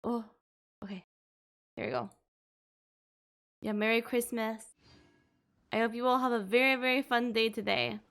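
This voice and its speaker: A woman's voice: a little high, fairly even intonation, normal speed, pauses often, a bit bright. A bubbly, gushing woman livestreamer chatting casually and reacting to a game.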